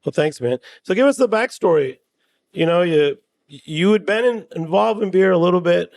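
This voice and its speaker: Gravelly, upbeat radio host man. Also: high voice, animated, normal speed, darkish tone, no echo. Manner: upbeat, enthusiastic. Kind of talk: on-site radio interview.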